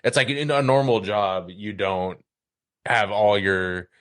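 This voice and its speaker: A man talking casually on a podcast, halting and digressive, with offhand swearing. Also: fairly low pitch, dry recording. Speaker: podcast host.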